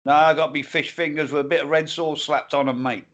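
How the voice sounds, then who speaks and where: A man co-hosting a casual chat show, his voice sitting a touch higher than most. He is blunt and crude, swears freely and teases the others. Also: fairly even intonation, fairly quick, fairly bright tone, highs cut off. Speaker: co-host. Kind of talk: casual banter.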